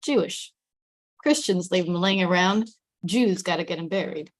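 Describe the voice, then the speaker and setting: A woman's voice: fairly low pitch, normal speed, lightly acted. A woman playing a blunt, shrewd detective in a script table read, terse and probing. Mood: blunt, sly.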